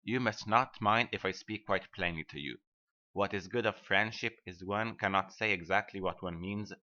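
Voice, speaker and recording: A man's voice: low voice, moderate pace, sharp tone. A man playing a selfish, sanctimonious miller in a storybook reading, lecturing in a self-righteous manner. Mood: stern, preachy. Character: selfish, sanctimonious male miller, smugly preaching friendship. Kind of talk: scripted storybook dialogue. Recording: dull, band-limited audio.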